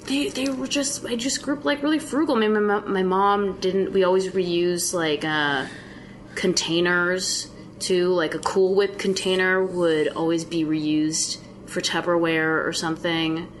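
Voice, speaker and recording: A young woman, a TV actress, chatting casually as a guest, with quick laughing asides. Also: lowish voice, fairly even intonation, a little hiss or hum. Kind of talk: casual chat.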